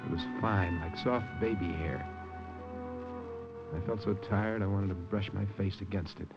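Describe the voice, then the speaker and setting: An actor playing a weary, anxious American undercover agent in a 1950s radio drama. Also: male voice, low voice, steady tone, unhurried, loud music behind the voice. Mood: weary, earnest. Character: weary, anxious American undercover agent behind German lines, recounting his story. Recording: noisy, muffled old radio broadcast.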